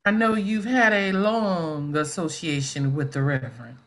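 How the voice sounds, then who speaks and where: A woman's voice: low voice, fairly even intonation, measured pace. Woman acting a pointed middle-aged schoolteacher. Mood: reminiscing, polite. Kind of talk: staged play reading over video call. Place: indoors, on a video call. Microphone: video call microphone.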